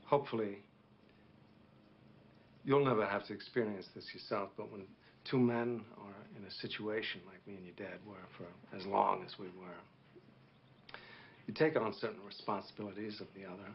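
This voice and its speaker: A grave middle-aged war veteran officer, played by a man, speaks slowly and solemnly, like a storyteller addressing a child. His voice sits a little low. The recording is dull and lo-fi, with a faint hiss behind it. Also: fairly even intonation, lightly acted. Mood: earnest. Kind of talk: dramatic monologue performance.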